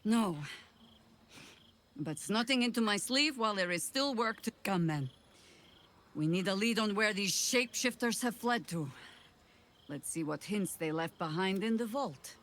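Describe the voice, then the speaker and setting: An actress playing a weary, proud older woman adventurer in a video game, speaking deliberately, with wry and formal phrasing. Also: medium pitch, lively intonation, a bit bright. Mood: brisk, wry. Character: weary, proud older female veteran adventurer, guilt-ridden and stubborn. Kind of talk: dramatic video game dialogue.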